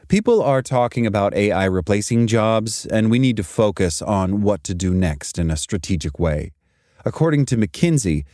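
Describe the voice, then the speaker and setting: Mellow man, motivational talk. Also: fairly low pitch, no echo, studio quality. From Zimbabwe and South Africa. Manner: emphatic, deliberate, short punchy sentences. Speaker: podcast host and career speaker. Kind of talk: scripted motivational career talk.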